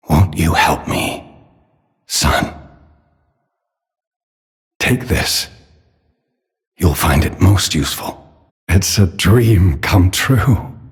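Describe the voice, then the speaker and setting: An actor playing an old, fatherly puppet maker in a video game, tender yet menacingly obsessive, speaking in a theatrical, solemn, measured way. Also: low-pitched, heavily acted. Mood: calm, grave, then gentle, musing. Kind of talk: dramatic video game character acting. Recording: long cavernous reverb.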